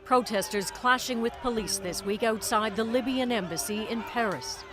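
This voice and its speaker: A woman's voice: fairly high pitch, moderate pace, over a noisy crowd, heavy background noise. A woman news anchor presenting a report and interviewing a correspondent in a conversational way with small repeats.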